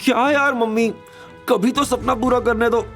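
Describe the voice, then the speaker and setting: A man voicing a spoiled, excitable young daughter in an audio drama, whiny and gushing, mixing in English. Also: extremely high pitch, normal speed, soft background music, pitched above the performer's own voice. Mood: sleepy, whiny.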